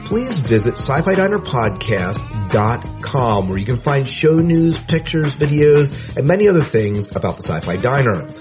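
A man's voice: mid-range voice, big pitch swings, normal speed, hardly any pauses. A man hosting a podcast in a casual, conversational way. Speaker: podcast host. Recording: music bed behind voice. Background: music.

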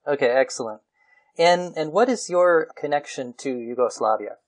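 A man's voice: fairly high pitch, expressive, normal speed. Man hosting a history podcast. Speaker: podcast host and interviewer. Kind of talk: podcast intro and interview.

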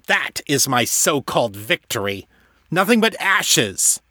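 Man doing a grieving dark lord, ranting, sobbing, high and wild when shouting, dry close sound. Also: big pitch swings, a little slow, no room sound, exaggerated voice. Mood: furious. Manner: anguished ranting, breaking into sobs. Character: brooding male dark lord, grieving and volatile.

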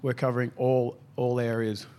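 A man's voice: mid-range voice, level tone, moderate pace, dark tone, smooth. A man running a gym, talking casually and swearing freely on a podcast.

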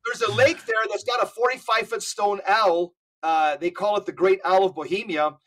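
Emphatic high-voiced man on a podcast. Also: moderate pace, slight background noise. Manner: emphatic, animated storytelling. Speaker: podcast guest. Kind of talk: casual conversational podcast.

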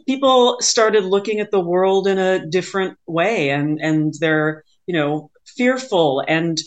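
A woman in a casual interview conversation, talking reflectively as the interviewer. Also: fairly low pitch.

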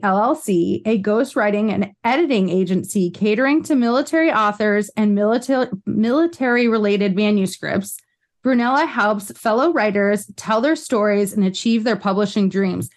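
An American woman in her thirties hosting a podcast interview, chatting casually with rambling side notes and frequent laughs. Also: a little high. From United States. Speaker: podcast host.

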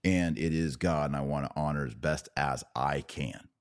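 Deep-voiced American man, emphatic religious commentator. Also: normal speed, smooth, no room sound, studio quality. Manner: emphatic, preachy, punchy short phrases. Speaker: podcast host taking viewer questions. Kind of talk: impassioned religious commentary, off the cuff.

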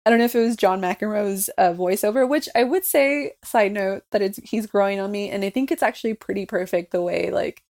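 A woman's voice: medium pitch, fairly quick, few pauses, a little mellow. A Mexican American woman co-hosting a casual podcast, chatting about a TV show with frequent restarts and light laughs. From United States. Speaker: podcast co-host. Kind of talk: casual chat discussing a TV show.

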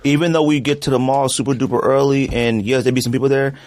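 A man co-hosting a podcast, telling stories in a casual, animated way and laughing easily. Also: medium pitch, fast, no echo. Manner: animated storyteller, laughs often. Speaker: podcast co-host. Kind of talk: casual chat.

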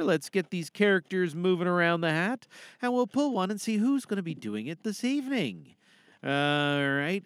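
A man hosts a podcast with casual, rambling chat and a joking manner. His voice is sharp and bright with some gravelly fry. The sound is dry and close. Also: sits high, dry recording. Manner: jokey, rambling. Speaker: podcast host. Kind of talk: casual, chatty show introduction.

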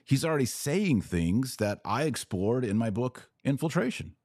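A man hosting a podcast, giving informal, emphatic commentary with deliberate repetition. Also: medium pitch, sing-song, a little mellow, no room sound, clean studio sound. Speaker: podcast host. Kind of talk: informal commentary on a played clip.

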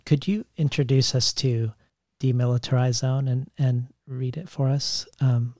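A man who hosts a literary interview and speaks in a measured, thoughtful way, with some restarts. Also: mid-range voice, fairly even intonation, dark tone, no room sound, studio quality. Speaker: interviewer, host.

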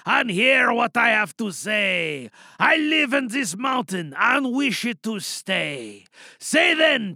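Man putting on a high, grandiose, slow-speaking troll. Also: creaky, sharp tone, no room sound, studio quality, exaggerated voice. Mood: imploring, threatening. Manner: declamatory rhymed verse, drawn-out words. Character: aggrieved old mountain troll, brooding, menacing, grandiose. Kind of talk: theatrical audio drama monologue.

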